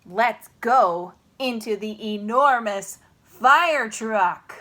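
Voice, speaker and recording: Woman voicing a bold, bossy little girl, high-pitched. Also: animated, very slow, bright, forceful tone, full character voice. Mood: bold, decided. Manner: bold, emphatic.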